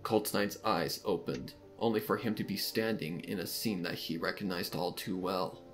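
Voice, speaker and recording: A man's voice: sits low, normal speed, a bit bright. A man reading fan fiction aloud as a dramatic, scripted story narration. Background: background music.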